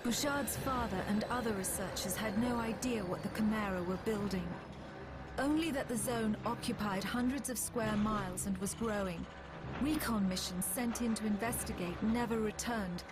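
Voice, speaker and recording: A woman narrating a video game war story in a serious, measured, scripted style. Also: mid-range voice, background noise, close to an everyday voice. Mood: grave, measured. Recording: music behind the narration.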